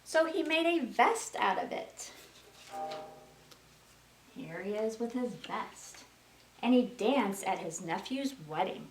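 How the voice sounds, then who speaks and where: A woman's voice: a little high, lively intonation, takes it slowly, long pauses, soft background music. A woman storyteller reading aloud to young children in a sing-song way, prompting her listeners with questions. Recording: roomy, distant mic with faint noise.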